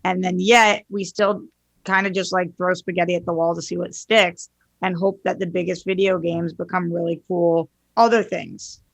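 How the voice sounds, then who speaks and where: A woman's voice: on the low side, level tone, moderate pace, vocal fry, no room sound. A woman talking casually as a podcast panelist, giving opinions in an informal, sweary way.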